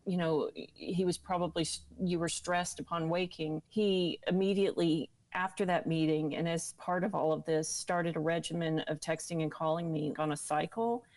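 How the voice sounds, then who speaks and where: A woman with a fairly low voice tells a personal story as an interview guest, recorded close and dry. She is candid and emphatic, pausing for weight on key words. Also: steady tone, a little mellow, no echo. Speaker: interview guest recounting own experience.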